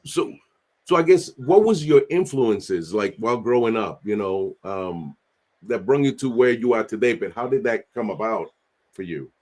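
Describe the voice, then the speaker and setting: A man, hosting a podcast with energetic, streetwise talk sprinkled with Spanish. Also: lowish voice, moderate pace. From New York. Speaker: podcast host. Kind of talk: casual interview chat.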